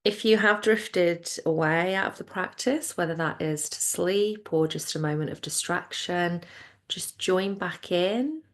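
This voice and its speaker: A woman leading a guided relaxation as a yoga nidra teacher, speaking in a soothing, unhurried and gentle way. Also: lowish voice.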